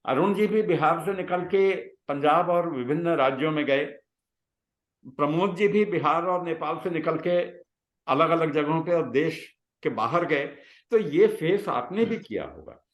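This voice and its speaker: An older man shares reflective personal stories. His voice sits a little high and moves with animated swings of pitch, broken by halting pauses. The room adds a noticeable echo. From Bihar, India. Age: 60s. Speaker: guest, retired scientist. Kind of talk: reflective personal storytelling in conversation. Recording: roomy, echoing sound.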